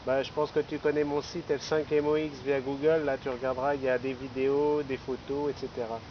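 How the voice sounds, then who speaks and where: A man's voice: mid-range voice, flat delivery, brisk. A man making rambling, casual amateur radio chat outdoors, often adding small tags to his phrases. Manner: chatty, rambling, tagging phrases with hein and là. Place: outdoors in a city park. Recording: dull, lo-fi outdoor recording with noise.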